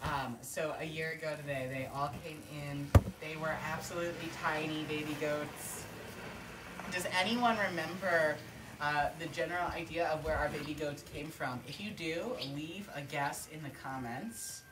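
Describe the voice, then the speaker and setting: A woman's voice: sits low, normal speed, background noise. A woman hosting a casual live stream from an outdoor barnyard, chatty with many fillers and some laughter. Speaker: sanctuary livestream host.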